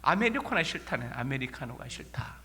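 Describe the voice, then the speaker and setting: A man's voice: medium pitch, sing-song, brisk, sharp tone. A male pastor with a Korean regional accent preaching a sermon in a folksy, storytelling way, asking rhetorical questions. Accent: Korean regional dialect. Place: church sanctuary. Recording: long church reverb.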